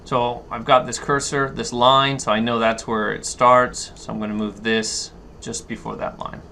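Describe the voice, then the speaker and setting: A man giving an unscripted software walkthrough, thinking aloud and haltingly explaining each step as he goes. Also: medium pitch, flat delivery, creaky. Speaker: tutorial instructor. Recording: noticeable background noise.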